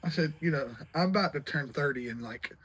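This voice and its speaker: A man's voice: a little high, fairly quick, a bit bright, slightly rough, rough recording. Rambling young man in his late twenties. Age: 20s. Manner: rambling, repeats himself, many fillers. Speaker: vlog host. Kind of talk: casual chat.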